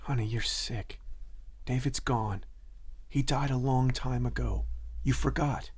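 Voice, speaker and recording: A man playing a gentle, worried sweetheart in an audio drama, speaking softly, haltingly and tenderly. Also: mid-range voice, breathy edge. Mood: gentle, pained. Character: gentle, worried man comforting his sick sweetheart, Nick.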